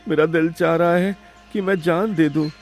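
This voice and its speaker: A man voicing a distressed, pampered young brother in an animated moral story, plaintive and timid. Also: fairly high pitch, normal speed, faint music behind, close to an everyday voice. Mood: despairing.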